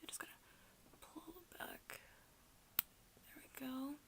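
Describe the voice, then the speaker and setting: A woman whispering in a breathy, hushed, halting way, doing an ASMR roleplay as a gloomy, deadpan emo best friend. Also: sits high. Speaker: ASMR video creator.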